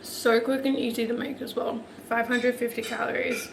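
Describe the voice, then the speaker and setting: Hoarse young woman, chatty, fairly high voice, kitchen clatter behind. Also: level tone, normal speed, background noise. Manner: chatty, lots of "like" fillers. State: hoarse, losing her voice. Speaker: vlogger. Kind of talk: casual cooking voice-over.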